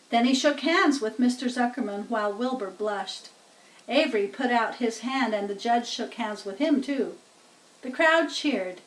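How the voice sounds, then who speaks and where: A woman reading a children's story aloud expressively, in a deliberate, teacherly way. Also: fairly high pitch. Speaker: storybook reader. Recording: roomy echo, distant microphone.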